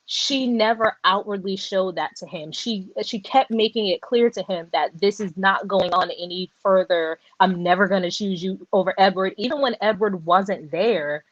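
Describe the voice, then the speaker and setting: A woman hosting a casual book discussion, talking in animated, rambling runs and correcting herself as she goes. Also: medium pitch, moderate pace, few pauses, no echo, dull audio. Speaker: podcast host.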